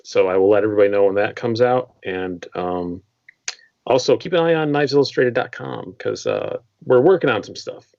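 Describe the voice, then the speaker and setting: Dry-humored man with a lowish voice. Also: normal speed, gravelly, darkish tone, dry recording, dull audio. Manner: matter-of-fact, dry teasing humor. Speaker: podcast guest, comedian and knife writer. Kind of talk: casual podcast chat.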